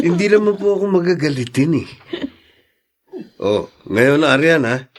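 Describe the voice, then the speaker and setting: Affable elder brother, radio drama. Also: male voice, fairly high pitch, very animated, measured pace. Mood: teasing, caring. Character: easygoing, dutiful newly married elder brother. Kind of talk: Filipino radio drama acting.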